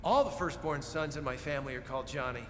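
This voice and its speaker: An actor playing a friendly, lonely Soviet prison guard in a cinematic game, earnest, hesitant and chatty. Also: male voice, on the high side, quick pace, fairly bright tone, lightly acted. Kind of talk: cinematic video game acting. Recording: echoey reverberant cell, faint noise.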